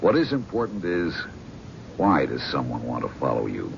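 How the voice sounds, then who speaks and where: An actor playing a calm, probing male psychiatrist in a 1970s radio drama. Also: very deep voice, normal speed, vocal fry, exaggerated voice. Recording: dull old radio broadcast with faint hiss.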